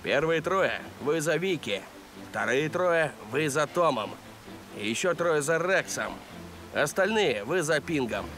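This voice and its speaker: A man's voice: fairly high pitch, lively intonation, unhurried, a crowd in the background. A man voicing a simple, good-natured and easily confused gorgonops in a children's cartoon. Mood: commanding. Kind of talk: Russian cartoon dubbing.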